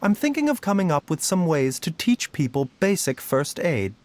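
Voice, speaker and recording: An actor playing a gentle, scholarly doctor in a fantasy game, speaking in a measured, polite and cautious way. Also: male voice, on the high side, big pitch swings. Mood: earnest. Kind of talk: scripted game voice acting. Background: background music.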